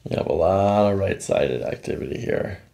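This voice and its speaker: Man, middle-aged, fry in the voice, plain clinical delivery, mic at some distance. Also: low voice, animated, normal speed, dark tone. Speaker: chiropractor. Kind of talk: clinical consultation and exam commentary. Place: indoor chiropractic office.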